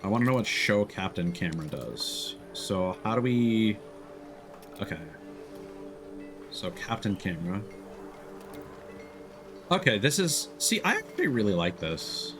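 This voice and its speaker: A man's voice: lowish voice, very animated, unhurried, long pauses, music in the background. A man livestreaming casual gameplay commentary, thinking aloud in a playful way. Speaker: live streamer.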